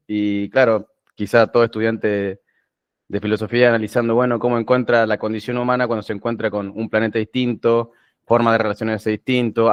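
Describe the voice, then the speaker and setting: A man who studies philosophy, talking haltingly in a casual book club video call. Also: on the low side, smooth, no room sound, highs cut off. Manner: halting, with restarts. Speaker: philosophy student.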